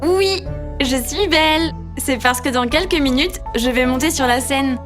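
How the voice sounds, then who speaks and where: A woman's voice: sits high, measured pace, music in the background. A woman voicing a vain, bossy young girl train in a children's cartoon, boastful and easily upset. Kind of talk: children's cartoon dub acting.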